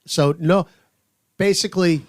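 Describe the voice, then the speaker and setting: A man who calls games on radio, chatting on a sports podcast with measured, drawn-out emphasis. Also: sits high, dry recording, studio quality. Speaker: radio play-by-play announcer. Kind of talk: casual sports podcast chat.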